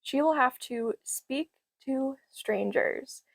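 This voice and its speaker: A young woman, a bit hoarse from losing her voice, chatting enthusiastically about library books. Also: high-pitched, takes it slowly, no echo. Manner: enthusiastic, gushing. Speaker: book vlogger. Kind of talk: casual book haul chat.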